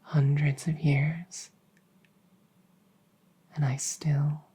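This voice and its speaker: A woman playing a devoted, centuries-old vampire maid in a gentle ASMR roleplay, speaking softly and formally in a breathy near-whisper. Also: low-pitched, flat delivery, drawn-out words, heavily acted. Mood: wistful, devoted. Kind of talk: soft-spoken roleplay comfort ASMR.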